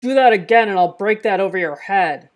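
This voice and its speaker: A woman's voice: mid-range voice, brisk, close to an everyday voice. A commanding police captain, played by a woman at a table read. She speaks in terse, tough orders in a plain, unpolished script reading. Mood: threatening. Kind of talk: informal screenplay table read.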